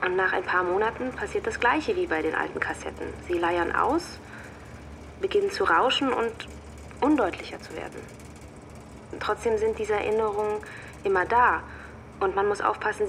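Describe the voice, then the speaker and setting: A woman's voice: mid-range voice, a bit bright, a little hiss or hum, natural voice. An actress playing a pensive, lonely working mother who talks hesitantly and thoughtfully in a naturalistic radio drama.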